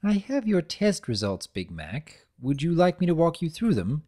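Man as a higher-voiced professional nurse pony. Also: big pitch swings. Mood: polite. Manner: gentle, formal, measured. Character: kindly, stern professional nurse pony, female. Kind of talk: dramatic reading of a cartoon fan story.

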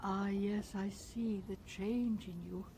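Slow, mellow alien mystic. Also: female voice, medium pitch, steady tone, a little hiss or hum. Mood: solemn, urgent. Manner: cryptic, commanding, measured. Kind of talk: video game dialogue acting.

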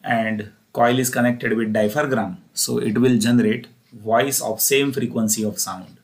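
A man's voice: mid-range voice, steady tone, measured pace. A man lecturing as an engineering teacher, explaining step by step and often repeating himself.

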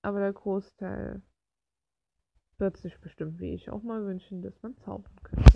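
A woman's voice: medium pitch, steady tone, unhurried, creaky, lo-fi sound, very noisy. A woman in a casual, rambling monologue, reflective and full of rhetorical questions. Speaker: video creator talking while drawing.

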